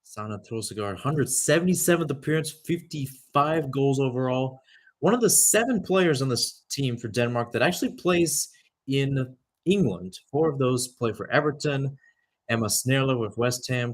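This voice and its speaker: A man's voice: mid-range voice, animated, normal speed. A young man giving live radio-style soccer commentary, mixing clipped calls of the play with conversational asides. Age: young adult.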